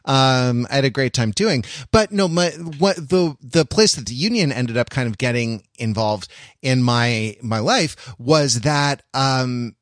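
An American man co-hosts a podcast in a casual, jokey register. He speaks at a middling pitch and wanders through asides as he goes. The sound is dry, with almost no room. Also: lively intonation, a little slow, no room sound. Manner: digressive, jokey. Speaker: podcast co-host. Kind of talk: casual chat.

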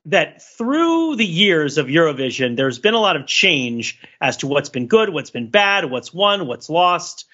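Male podcaster, sharp bright tone, digressive casual chat, somewhat muffled audio. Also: a little high. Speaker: podcast co-host.

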